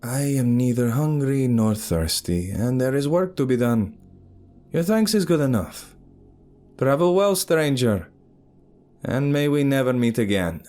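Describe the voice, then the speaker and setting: A man's voice: mid-range voice, expressive, measured pace, warm tone, pitched above the performer's own voice, lightly acted. A man doing a curt, aloof female huntress. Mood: dismissive. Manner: curt and detached.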